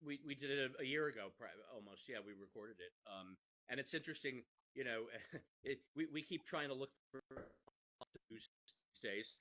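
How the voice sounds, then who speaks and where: Man with a bright, forceful voice. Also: mid-range voice, normal speed. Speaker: podcast creator, interview guest. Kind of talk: conversational interview.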